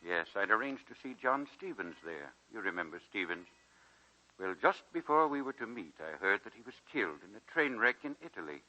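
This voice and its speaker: An actor playing a kindly, chuckling elderly retired college president in a 1940s radio drama. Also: male voice, on the low side, sing-song, fairly quick, pushed and bright, heavily acted. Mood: grave, concerned. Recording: dull 1940s radio broadcast.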